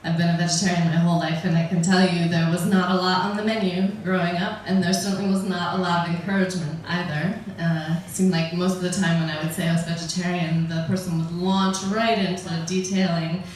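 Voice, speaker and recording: A woman's voice: on the low side, level tone, brisk, hardly any pauses, a little hiss or hum. A middle-aged American woman doing stand-up comedy, chatty and wry, laughing at her own jokes. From United States. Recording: echoey room, voice from a distance.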